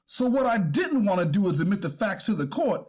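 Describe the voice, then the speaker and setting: A man acting as a careful male defense lawyer in a courtroom reading. His voice is high and husky, with a formal, deferential manner and a lively rise and fall. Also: fairly quick, full character voice. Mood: careful, explanatory. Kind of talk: readers theater of a court transcript.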